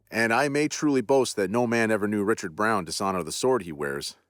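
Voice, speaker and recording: A man's voice: on the low side, no echo, studio quality, lightly acted. A man voicing a shaken old-school general. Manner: earnest, formal period speech. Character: shaken proud male army general confessing terror.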